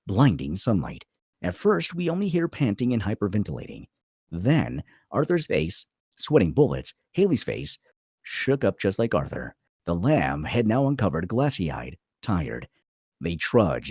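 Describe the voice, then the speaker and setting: A man reads stage directions as dramatic narration. His voice is a little low and husky, very animated in pitch, with clipped phrasing and a dry, close recording. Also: dry recording. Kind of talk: dramatic scripted narration at a table read.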